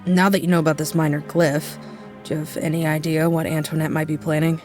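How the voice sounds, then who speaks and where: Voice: medium pitch, level tone, brisk, darkish tone, soft background music, natural voice. An actor's cocky sidekick voice, middle register, casual, irreverent, blunt, dramatic audio fiction. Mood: curious. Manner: casual, irreverent, plain-spoken.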